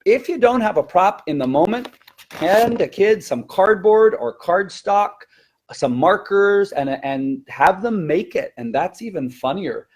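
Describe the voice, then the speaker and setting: A man teaching in a conversational webinar style, explaining and demonstrating in an animated way as a presenter and teacher trainer. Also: high voice, measured pace, husky.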